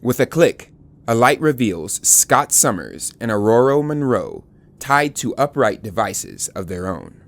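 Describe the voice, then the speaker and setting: A man's voice: medium pitch, huge pitch swings, a little slow, vocal fry, fairly bright tone. A man giving dramatic scripted narration, grave and portentous in delivery. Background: dramatic music.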